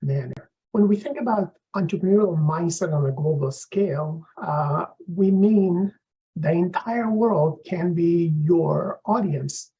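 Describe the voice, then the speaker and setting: Gravelly-voiced man giving a lecture. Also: a little high, muffled recording. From Afghanistan. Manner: measured, explanatory lecturing. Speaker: professor. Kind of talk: course introduction lecture.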